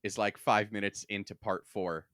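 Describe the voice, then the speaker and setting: Male podcast host, low crisp-edged bright voice, dry wit, close dry sound, conversational. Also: fairly even intonation, moderate pace, dry recording. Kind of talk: casual podcast chat.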